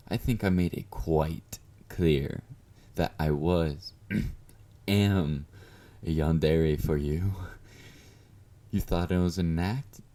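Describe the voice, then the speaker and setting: A man's voice: deep voice, dark tone. A man playing an obsessive, clingy yandere fan in an intimate roleplay monologue, speaking to the listener with doting, flirty confidence. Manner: doting, confident, coaxing with pet names.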